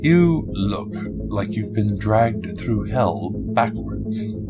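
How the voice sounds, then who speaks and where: A man playing a gruff, suspicious occult shopkeeper in a gothic audio drama, with a low gravelly voice and terse muttering. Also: expressive, drawn-out words, loud music behind the voice, exaggerated voice. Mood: gruff, wary. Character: Lucian, gruff suspicious male occult shop keeper.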